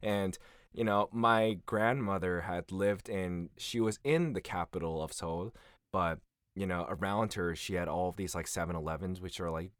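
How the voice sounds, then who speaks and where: A young American man, a guest in a casual interview, tells stories with lots of laughter and acts out other people's lines in a higher voice. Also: moderate pace, no room sound, clean studio sound. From United States. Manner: animated, laughing storyteller who acts out quotes in a higher voice.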